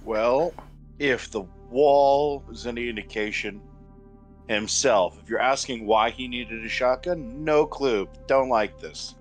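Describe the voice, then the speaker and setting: Mid-pitched man role-playing at a game. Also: slow. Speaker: tabletop game participant. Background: music.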